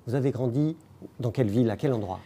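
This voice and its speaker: A man interviewing a guest, asking calm, probing questions about her background. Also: medium pitch, quick pace.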